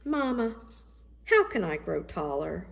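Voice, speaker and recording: A woman's voice: on the low side, sing-song, a little slow, vocal fry, exaggerated voice. A woman voicing an earnest young boy in a children's storybook, full of questions and longing to grow taller. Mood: hopeful. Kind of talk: children's storybook read-aloud.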